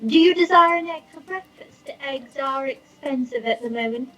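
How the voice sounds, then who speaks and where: A narrator voicing a sweet, eerily welcoming middle-aged landlady in a storybook reading, gentle and genteel, as a woman. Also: high voice, soft background music, voice pushed up. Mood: sweetly obliging. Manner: gentle, genteel, coaxing, drawn-out. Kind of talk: storybook character voice.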